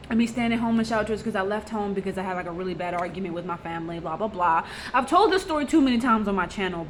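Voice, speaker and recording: A woman speaks bluntly about her own struggles in a personal vlog. She circles back and repeats herself, with loose fillers between thoughts, at a middling pitch for a woman. A faint noise sits behind her voice. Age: 20s. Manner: blunt and raw, vents with frequent fillers and repeats. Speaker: vlogger.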